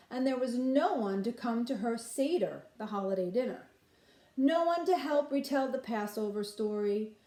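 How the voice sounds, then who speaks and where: A woman reading aloud to young children like a nursery school teacher, expressive and explaining things simply, in a roomy space. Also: a little high. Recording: roomy, echoing room sound.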